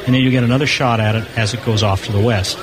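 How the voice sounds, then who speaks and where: A man's voice: fairly low pitch, fairly even intonation, quick pace. A man being interviewed as a guest on a live show, explaining technical things informally from a convention floor booth. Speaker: amateur satellite enthusiast, show guest. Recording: busy crowd noise, live radio broadcast. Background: crowd chatter.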